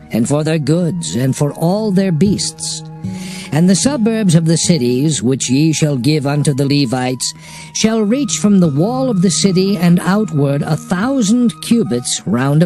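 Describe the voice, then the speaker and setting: A man's voice: fairly high pitch, warm tone. A man narrating dramatized scripture in a solemn, declamatory manner. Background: music.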